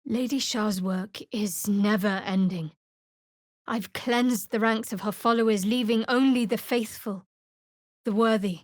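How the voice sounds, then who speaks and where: An actress playing a guarded, wry young cleric of darkness in a video game, speaking in a measured way. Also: female voice, medium pitch, fairly even intonation, no room sound, clean studio sound, lightly acted. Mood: proud, wry.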